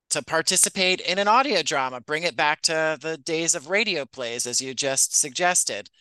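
A man's voice: fairly high pitch, bright, forceful tone, clean tone, no echo, rough recording. A man answering questions as an interview guest on a podcast. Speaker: podcast guest, writer and producer.

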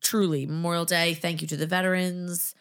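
A woman hosting a casual podcast chat, hoarse and nasal, chatty with quick self-deprecating asides. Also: on the low side, level tone, a bit bright. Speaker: podcast host.

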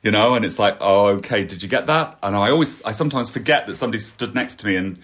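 A man hosts a relaxed conversation in a slightly low voice. He muses aloud and often ends points with a questioning tag, inviting his guest to agree. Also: fast talker. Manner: reflective, asks tag questions. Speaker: podcast host. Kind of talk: conversational interview.

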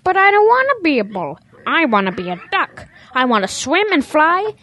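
A woman's voice: sits high, sing-song, normal speed, sharp tone, heavily acted. A woman voicing a naive, eager young boy calf in an audio drama, childlike and pleading.

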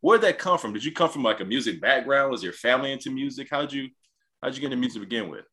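A man's voice: mid-range voice, lively intonation, rapid-fire, pushed and bright. A man interviewing a musician, enthusiastic and casual, stumbling over his words as he asks questions. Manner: enthusiastic, stammering restarts, casual slang.

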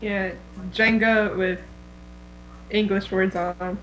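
A woman's voice: mid-range voice, steady tone, takes it slowly, lo-fi sound, slight background noise. A woman co-hosting a fan podcast, chatting in a casual, informal way. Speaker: podcast co-host.

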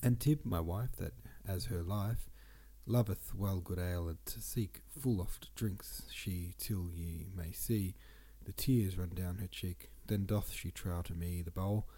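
A man's voice: sits low, a little slow, few pauses, slightly rough. A podcast host, a man, reading old poems aloud and chatting about them, sniffly and congested. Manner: reads verse aloud with relaxed asides. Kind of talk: casual poetry reading and commentary.

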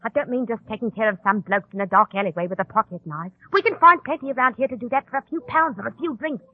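A woman's voice: on the high side, fast, lightly acted. An actress playing a chummy, probing tavern girl with shady schemes in a 1940s radio drama. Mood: conspiratorial. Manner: familiar, coaxing, probing. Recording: tinny, narrow old radio recording.

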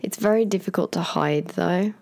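A woman co-hosting a podcast in casual chat, her voice croaky from a cold, chatty and laughing often. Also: on the low side, moderate pace, a little mellow. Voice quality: naturally croaky. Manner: chatty, laughs often, trails off mid-sentence. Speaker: podcast co-host.

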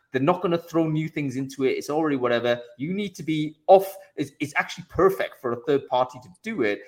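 A man hosts an interview show in a casual, conversational way. He often restates what his guest has said before moving on to the next question. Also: medium pitch. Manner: conversational, recaps the guest's points. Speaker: podcast host.

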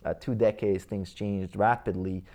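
A man's voice: lowish voice, steady tone, unhurried, dark tone. A man in his thirties with a Croatian accent, a native Croatian speaker, talking casually about his past as an interview guest, rambling with many fillers and restarts. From Croatia/Bosnia region. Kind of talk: casual life-story interview.

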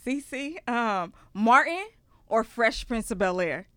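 Bright-voiced young woman hosting casual chat. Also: a little high, sing-song, no room sound, clean studio sound. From Houston, Texas, raised in Ghana. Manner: playful, quick quiz questions, then reflective storytelling. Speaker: host.

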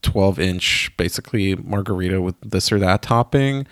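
A man who grew up in Israel, chatting casually on a podcast, pausing often with fillers and restarts. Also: low-pitched, dry recording, studio quality. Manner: hesitant, many fillers and restarts. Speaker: podcast co-host. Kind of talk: casual chat.

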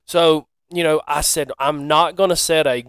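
A man with a Southern US accent swaps stories on a casual podcast. His voice is slightly high and clean, recorded dry. He tells things in a folksy, joking way, as an everyday storyteller would. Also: steady tone, moderate pace, fairly bright tone, smooth, dry recording. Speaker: podcast co-host telling stories. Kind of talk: casual anecdotal chat.

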